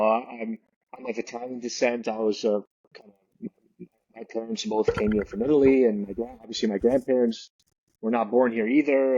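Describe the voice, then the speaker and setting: A man's voice: medium pitch, a little mellow, breathy edge, dry recording, dull audio. A man speaking as a guest in an interview, hesitant and often restarting his sentences. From Canada. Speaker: guest, realtor and investor. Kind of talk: podcast interview conversation.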